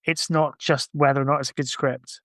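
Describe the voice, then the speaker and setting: Higher-pitched man in casual, reflective chat. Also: fairly even intonation, brisk, fairly bright tone, no echo. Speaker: podcast speaker.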